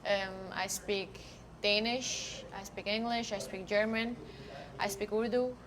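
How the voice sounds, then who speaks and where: A woman's voice: medium pitch, steady tone, a little slow, a crowd in the background. A young woman whose first language is Dari, a soccer player talking earnestly and reflectively in a casual interview. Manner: earnest, reflective, speaks in loose thoughtful phrases with fillers.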